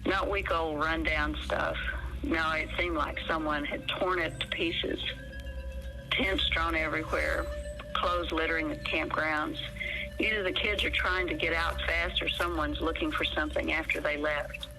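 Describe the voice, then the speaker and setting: A woman's voice: sits low, steady tone, a little slow, music in the background, poor audio. A woman playing a guarded, blunt park ranger investigator, answering interview questions matter-of-factly with an American accent. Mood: guarded, wry.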